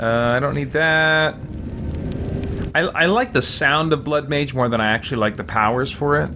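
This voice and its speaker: A man's voice: mid-range voice, normal speed, noisy background. A man streaming a role-playing game, giving casual commentary and muttering his thoughts as he goes. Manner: thinking aloud, muttering to himself.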